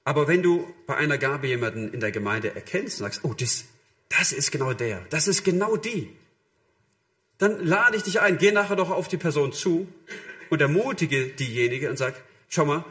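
A man's voice: a little high, animated, moderate pace, fairly bright tone. A man preaching a sermon in a conversational, illustrative way, addressing listeners directly and voicing short imagined quotes.

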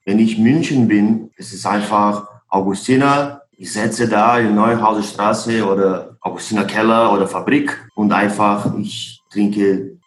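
A Brazilian man with a Portuguese accent, a maltster guest in a casual interview, speaking German he taught himself in simple, unhurried phrases. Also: fairly low pitch. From Brazil, Santa Catarina. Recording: roomy echo.

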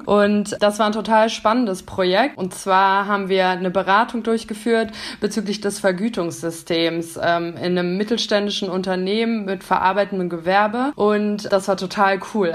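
A woman in her thirties, a business psychologist and coach, talking enthusiastically as a podcast guest. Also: mid-range voice. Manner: enthusiastic, fluent, frequent fillers. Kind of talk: casual podcast interview.

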